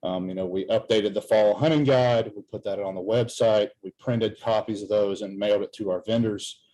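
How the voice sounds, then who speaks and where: A man's voice: fairly low pitch, fairly even intonation, moderate pace, dark tone, rough recording. A man presenting for a government wildlife agency in a formal, earnest spoken update.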